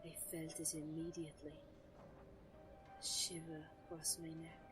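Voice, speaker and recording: A woman playing an ancient, brooding nature sorceress who narrates an audio drama in a solemn, measured, literary manner. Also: sits low, poor audio. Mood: tense, haunted. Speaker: first-person narrator. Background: music.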